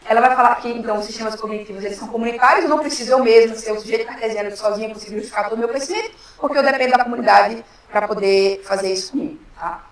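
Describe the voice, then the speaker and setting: A woman's voice: fairly high pitch, rapid-fire, few pauses. A woman with a Brazilian accent giving an explanatory academic talk in an informal way, often adding little tag questions to check in with listeners. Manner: informal, frequent tag questions seeking assent. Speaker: academic workshop speaker. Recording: very echoey room, distant microphone.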